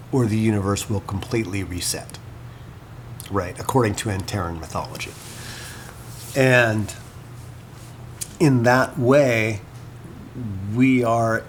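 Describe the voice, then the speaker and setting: An actor playing a scholar who muses hesitantly over notes on an ancient myth in an audio drama, pausing between short phrases, with noise behind him. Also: male voice, lowish voice. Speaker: scholar and commentator. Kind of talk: audio drama monologue as informal annotated commentary.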